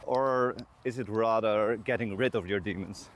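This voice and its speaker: Male interviewer, slightly deep voice, conversational and searching, recorded walking outside with clear ambient noise. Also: moderate pace. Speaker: podcast host interviewing. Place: outdoors on a walking trail. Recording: outdoor walk with clear background noise.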